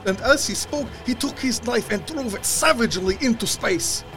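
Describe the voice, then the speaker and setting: An actor playing a weary, fearful sea captain in an audio drama, reading his log in grave, halting, clipped phrases. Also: extremely high pitch, expressive, fairly bright tone, faint music behind. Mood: tense. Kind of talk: dramatic first-person log narration.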